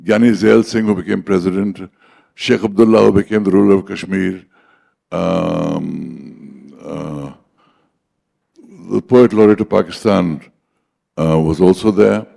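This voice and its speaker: Older man, Indian English accent, mellow and dark-toned, a personal storyteller at a leadership conclave. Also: low-pitched, fairly even intonation, a little slow, vocal fry, long pauses. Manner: anecdotal, switches into Hindi for quoted dialogue. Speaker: conference speaker.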